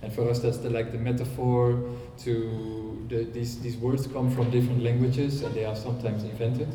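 A man speaks at a seminar, unhurried and hesitant, filling pauses with ums. His voice is very dark and flat in pitch. He sounds distant in a reverberant hall. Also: slight background noise. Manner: hesitant, polite, many ums. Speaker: guest speaker presenting a project. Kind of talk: seminar talk. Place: seminar room. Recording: distant mic in an echoey hall.

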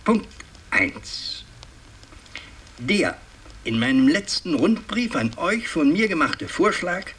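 An actor playing a pompous, fussy older aristocratic patriarch in a radio drama, speaking in a halting, ceremonious, long-winded way. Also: male voice, medium pitch, bright, forceful tone. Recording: distant, noisy 1960s radio recording.

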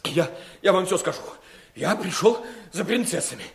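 Трусливого принца Болталона, очень высокий голос. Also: male voice, high-pitched, expressive, moderate pace, creaky. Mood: panicky. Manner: stammering, jittery. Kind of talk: comic theatrical radio acting.

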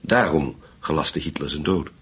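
A grave investigator in a radio play, super low husky voice, careful and deliberate. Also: male voice, fairly bright tone, heavily acted. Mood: thoughtful, probing. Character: grave, methodical male investigator piecing together a wartime secret. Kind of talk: Dutch radio play acting.

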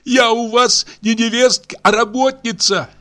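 A man voicing a furious young woman who shouts and sobs as she rails at her family. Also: very high voice, steady tone, measured pace, soft background music, voice pushed up, full character voice. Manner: shouting, sobbing, bitter.

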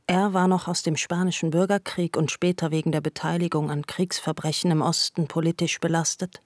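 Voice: medium pitch, level tone, brisk, no room sound. Radio play narrator, scripted literary reading, dry close studio sound.

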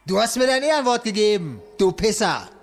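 An actor playing a cocky, hot-tempered special agent who wisecracks and threatens in an amateur pulp audio drama. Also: male voice, sits high, very animated, fairly quick. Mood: angry, threatening.

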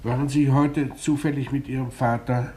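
A man's voice: mid-range voice, steady tone, normal speed, a little mellow, natural voice. Man acting a terse detective superintendent, hissy recording. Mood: probing, businesslike. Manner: terse questioning, dry irony. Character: brisk, probing male police detective superintendent. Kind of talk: 1950s German radio drama acting. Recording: hissy 1950s radio recording.

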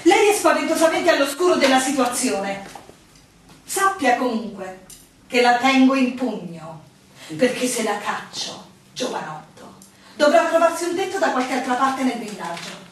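A woman's voice: sits high, very animated, moderate pace. An actress playing a stern, condescending innkeeper woman in an Italian radio play, speaking in a formal, admonishing way. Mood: stern, warning. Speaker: stage actor in a radio play. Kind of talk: theatrical radio drama dialogue. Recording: very echoey, distant, music behind.

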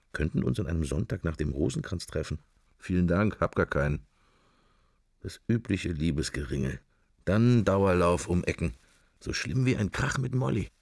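An actor playing a brooding, furtive middle-aged man in a radio drama, murmuring his drifting, sensual thoughts in fragments with a gravelly, husky voice. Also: very deep voice, darkish tone, dry recording, studio quality, heavily acted. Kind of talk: radio drama interior monologue.

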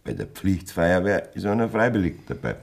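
Very deep, husky Bavarian farmer. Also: male voice, sing-song, moderate pace, exaggerated voice. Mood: smug, gleeful. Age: middle-aged. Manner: boastful, coarse, earthy. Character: boastful, vindictive, lecherous Bavarian farmer of fifty-two. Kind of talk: dialect radio drama acting.

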